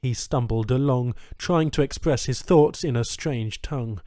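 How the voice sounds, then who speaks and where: A man's voice: mid-range voice, moderate pace, darkish tone, no echo, studio quality. A man reading a science fiction short story as scripted audiobook narration.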